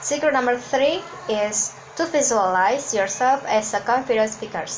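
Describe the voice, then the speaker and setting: Cantonese-native woman from hong kong teaching public speaking, kinda high voice, earnest, restarts words, faint background hum. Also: lively intonation, normal speed, rough recording. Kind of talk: instructional talk to camera.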